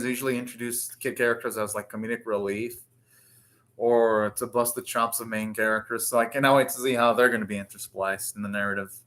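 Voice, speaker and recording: A man's voice: mid-range voice, fairly bright tone. A man hosting an anime reaction, commenting casually and thinking aloud in short, rambling remarks.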